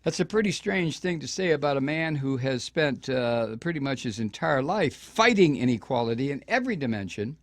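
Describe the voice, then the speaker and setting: Impassioned older man with a lively pitch. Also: normal speed, hardly any pauses, dry recording. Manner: impassioned, indignant, with frequent restarts. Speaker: radio talk show host. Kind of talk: political commentary.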